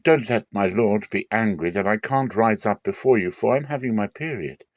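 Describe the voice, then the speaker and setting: A man voicing a young woman calmly making an excuse to her father in a dramatic scripture reading. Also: lowish voice, normal speed, no room sound, natural voice. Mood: demure.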